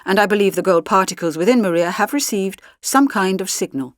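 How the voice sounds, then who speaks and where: A woman's voice: mid-range voice, no echo, lightly acted. Woman voicing a formal, precise male supercomputer, dry sound. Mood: measured, analytical. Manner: formal, measured, technical phrasing.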